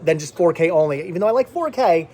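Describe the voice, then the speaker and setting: High-voiced, chatty man vlogging. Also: expressive, fast, warm tone, soft background music. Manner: chatty, rambling, repeats words for emphasis. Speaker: vlogger host. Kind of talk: casual vlog chat to camera and friends.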